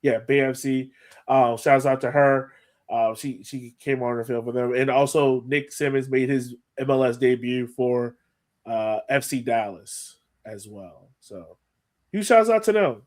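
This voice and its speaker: A man hosting a sports podcast in casual chat, animated and often repeating phrases for emphasis. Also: mid-range voice, unhurried. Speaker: podcast host.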